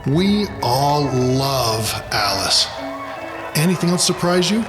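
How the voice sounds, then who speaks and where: A man's voice: medium pitch, sing-song, slow pace, over music. An actor playing an eager male reporter who projects inquisitively, in a sci-fi audio drama. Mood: eager, curious.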